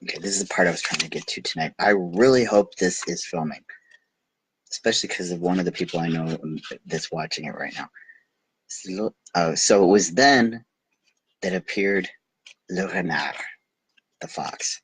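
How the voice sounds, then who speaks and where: A man reading a bedtime story aloud and loosely translating it as he goes, halting and breaking off for amused asides. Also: sits low, a bit bright, husky, poor audio. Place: recording himself on video.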